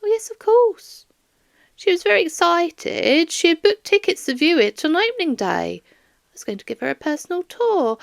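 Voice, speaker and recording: A woman's voice: high-pitched, vocal fry, no echo, studio quality, voice pushed up, full character voice. A woman voicing a flustered, doting older woman who works at a museum, in a rambling, plaintive and baffled manner, for an audiobook. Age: 60s.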